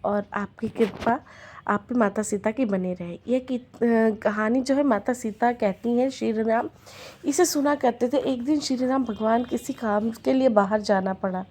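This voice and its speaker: A hoarse woman telling a devotional folk tale and chanting rhythmic verse refrains. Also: fairly high pitch, a little slow, darkish tone, slight background noise. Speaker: devotional storyteller.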